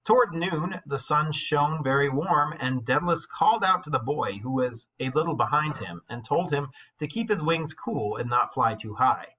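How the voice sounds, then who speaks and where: A man's voice: fairly high pitch, animated, moderate pace, few pauses, sharp tone, breathy edge, rough recording. A man reading a story aloud expressively as an English teacher, with dramatic, emphatic phrasing and explanatory asides. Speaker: high school English teacher.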